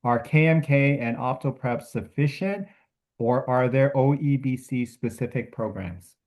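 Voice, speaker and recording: A man's voice: mid-range voice, darkish tone. Man moderating a webinar Q&A. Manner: halting, with many restarts and fillers. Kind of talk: hosting a webinar Q&A.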